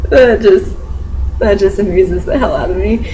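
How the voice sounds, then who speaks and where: A woman's voice: medium pitch, normal speed, dark tone, slight background noise, low-quality recording. A young woman from the US Midwest with a mostly neutral American accent, casually reviewing a book chapter with sarcastic asides, fillers and frequent laughter. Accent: mostly neutral American, sometimes Southern.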